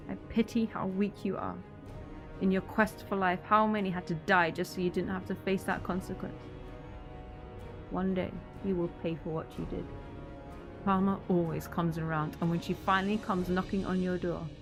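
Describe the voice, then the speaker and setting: A woman acting in an audio drama as a grieving, bitter but resilient survivor, with intense emotional delivery. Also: fairly low pitch. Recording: music behind the voice.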